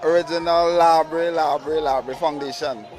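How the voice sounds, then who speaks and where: A man, a guest at a party, talking in a relaxed, halting way. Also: on the high side. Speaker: event guest. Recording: loud party music and crowd. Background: music and crowd.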